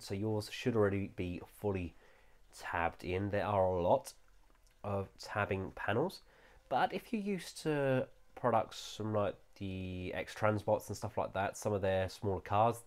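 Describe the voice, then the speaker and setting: A man with a nasal voice, recovering from a cold, giving an enthusiastic, chatty toy review with frequent "uh" fillers. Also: sits low. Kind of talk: casual product review.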